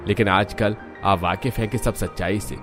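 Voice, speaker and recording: A man's voice: on the low side, fairly even intonation, normal speed, music in the background, close to an everyday voice. Man playing an earnest, concerned village doctor. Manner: earnest, explanatory. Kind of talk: cartoon moral story acting.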